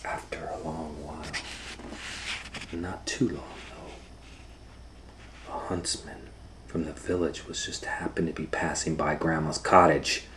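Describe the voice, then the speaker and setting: A man reading a children's story aloud as host, dramatically, moving between hushed and loud. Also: very deep voice, little pitch movement, slow pace, pauses often. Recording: faint background noise.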